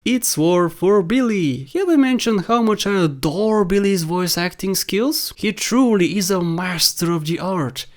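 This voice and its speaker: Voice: medium pitch, animated, a little slow, hardly any pauses. A narrator giving opinionated scripted commentary for a countdown video, in an informal, wry way with rhetorical questions.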